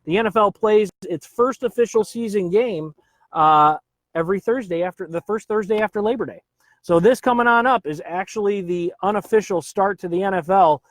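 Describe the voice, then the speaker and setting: A chatty man hosting a live stream from home, his voice a touch higher than most men's. He keeps things upbeat, greets viewers by name and drops easy uhs between thoughts. The sound is close and dry. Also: moderate pace, no echo. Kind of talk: casual live stream chat.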